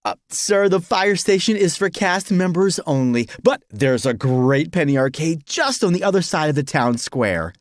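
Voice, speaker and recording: A man's voice: a little high, expressive, moderate pace, hardly any pauses, no echo, clean studio sound, pitched above the performer's own voice, lightly acted. A man voicing an overly cheerful, courteous theme park employee in an audiobook. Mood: chipper. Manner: chipper, courteous. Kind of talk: acted audiobook dialogue.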